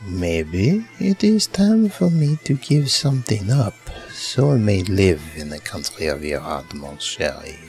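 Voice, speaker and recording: A man's voice: sits low, very animated, a little slow, few pauses, a little mellow, soft background music, heavily acted. A man playing a romantic, flirtatious French charmer with a courtly, earnest manner in an amateur audio drama. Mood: earnest, tender. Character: romantic French-speaking male charmer and idle drinker.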